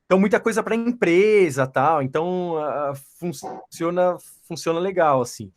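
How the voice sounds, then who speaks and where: A Brazilian man with a fairly high voice talks casually as a guest. He is a voice-over professional, and he speaks in a halting way, often repeating words as he finds his thought. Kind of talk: casual chat, telling his own experience.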